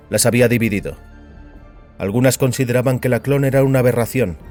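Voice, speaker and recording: Male narrator, fairly low voice, solemn, deliberate, dramatic storytelling, soft music behind.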